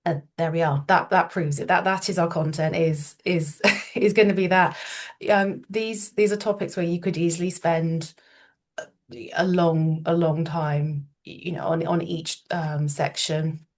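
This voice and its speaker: English woman, solicitor, a little nasal from a cold, lowish voice, careful explaining. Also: fairly even intonation. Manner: measured with frequent ums and restarts. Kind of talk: informative webinar talk.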